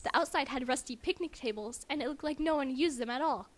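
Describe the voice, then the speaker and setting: High-pitched woman reading a story. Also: fast. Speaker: amateur story reader and commentator. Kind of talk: mock-dramatic reading with casual commentary. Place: friend's house. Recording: faintly noisy amateur home recording.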